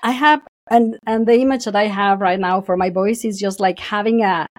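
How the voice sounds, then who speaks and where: A woman's voice: medium pitch, normal speed, dry recording, studio quality. A woman guest in a casual podcast conversation, talking expressively and laughing often. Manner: expressive, laughs often, acts out quoted voices.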